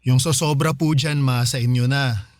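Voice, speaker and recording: A man's voice: medium pitch, fairly even intonation, moderate pace, darkish tone, no echo, studio quality, natural voice. An actor with a Filipino accent playing a hardworking, affectionate son in his thirties in a radio drama, speaking respectfully and casually. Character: hardworking, dutiful, affectionate 35-year-old son.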